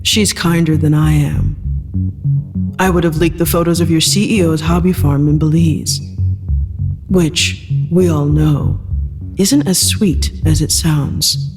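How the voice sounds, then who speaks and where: An icy woman in charge, as a male narrator puts her on, speaks with slow, dismissive menace in an audio story. The pitch sits high for a man, in a long reverberant space with clear music underneath. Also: steady tone. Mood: dryly menacing. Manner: frosty, unhurried, dismissive. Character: cold, imperious female corporate boss, dry and menacing.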